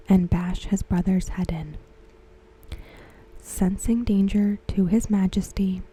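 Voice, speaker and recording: A woman softly narrating a scripted ASMR recap in a gentle, measured way, with a breathy, whispery voice. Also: medium pitch, poor audio. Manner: gentle, measured, carefully phrased. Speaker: ASMR host reviewing a TV episode.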